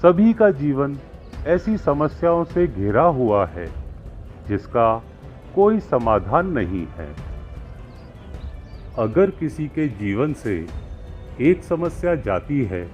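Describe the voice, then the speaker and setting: A man voicing a calm, wise village holy man who gives counsel in a moral story, measured and didactic with deliberate pauses. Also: mid-range voice. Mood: philosophical, instructive. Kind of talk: acted moral-story dialogue. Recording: muffled lo-fi with music behind.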